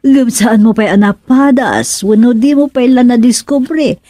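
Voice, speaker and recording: A woman's voice: on the high side, lively intonation, a little slow, dark tone, dry recording, clean studio sound. A woman playing an anxious queen and wife in a radio drama, questioning in a fretful way. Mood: worried.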